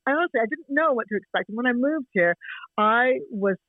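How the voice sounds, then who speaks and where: A woman's voice: on the high side, expressive, a little fast, no echo. Canadian woman in her forties, chatty, laughs a lot, dry tinny phone-line sound. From Canada, Toronto. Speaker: guest. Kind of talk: casual chat over a call.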